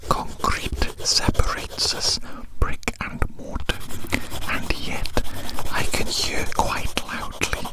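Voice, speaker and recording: A woman whispering a rambling ASMR roleplay very close to the microphone, softly repeating her phrases in a breathy, unvoiced voice. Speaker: ASMR performer.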